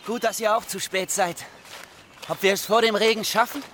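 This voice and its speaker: An actor playing a young mill journeyman in a radio play, hurried and anxious, speaking urgently. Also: high voice, steady tone, slight background noise. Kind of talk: German radio play acting. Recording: loud rain and thunder behind.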